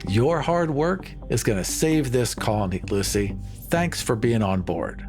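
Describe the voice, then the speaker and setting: A man's voice: medium pitch, expressive, unhurried. A man playing a tabletop roleplaying game, speaking conversationally as he improvises in character. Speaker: player in a tabletop roleplaying show. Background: faint music.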